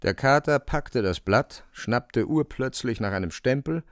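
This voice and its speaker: A man narrating a radio drama from a script, in an expressive storytelling style. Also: medium pitch, measured pace, dry recording, studio quality.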